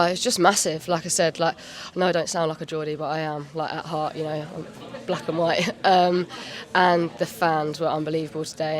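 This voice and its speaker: A woman team captain giving a halting, modest post-match interview pitchside, full of "like" and "um" fillers. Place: pitchside at an outdoor stadium.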